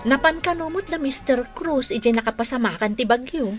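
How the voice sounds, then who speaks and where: An actress playing a nosy, sharp-tongued, sceptical wife in an Ilocano radio drama, expressive and pointed. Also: female voice, high voice, moderate pace, music in the background. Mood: gossiping.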